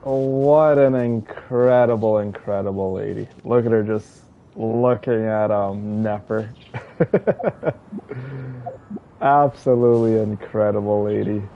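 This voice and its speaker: A man giving casual, earnest reaction commentary as a game streamer, speaking haltingly and repeating words with feeling. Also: lowish voice, fairly even intonation, narrow, tinny audio.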